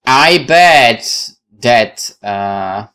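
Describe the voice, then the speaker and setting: A man's voice: mid-range voice, expressive, very slow, bright, forceful tone, smooth. A man with a Polish accent reading visual novel text aloud as a let's play host, dramatically, with occasional stumbles and casual asides. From Poland.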